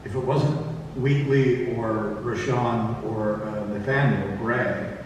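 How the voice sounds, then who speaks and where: A man's voice: medium pitch, fairly even intonation, slow pace. A man talking casually as a panelist in a discussion about his production work. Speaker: panelist, audio drama director and actor. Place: convention panel room. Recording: echoey hall, distant mic, faint noise.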